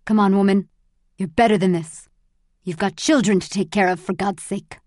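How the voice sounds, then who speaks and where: A woman voicing a gruff man in an audiobook, scolding and urging in a brusque, insistent way. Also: medium pitch, steady tone, moderate pace, a little mellow, no echo, studio quality, lightly acted.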